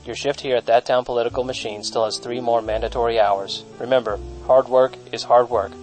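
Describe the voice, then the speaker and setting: A man acting a supposedly automated, ominous PA announcer in an audio comedy, deadpan and officious. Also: mid-range voice, brisk, faint music behind, lightly acted, rough recording.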